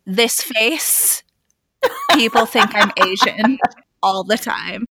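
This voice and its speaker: A woman's voice: mid-range voice, takes it slowly, bright, forceful tone, breathy edge, low-quality recording. A woman co-hosting a casual podcast, chatting, laughing easily and reading out listener questions. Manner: laughs often, reads listener questions aloud. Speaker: podcast co-host.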